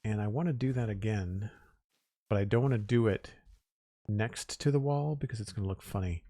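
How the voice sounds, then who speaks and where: Low, raspy-voiced man chatting on stream. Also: warm tone, no room sound. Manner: thinking aloud, rambling and unhurried. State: slightly hoarse. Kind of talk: casual live-stream chat while building.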